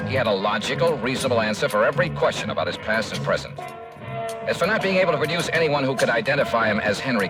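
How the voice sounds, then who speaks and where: An actor playing a dogged, skeptical American insurance investigator in a 1950s radio drama, with wry, clipped delivery. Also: male voice, medium pitch, close to an everyday voice, low-quality recording. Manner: probing, wry, clipped questioning. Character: dogged, skeptical male insurance investigator narrating his case. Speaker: radio detective lead and narrator.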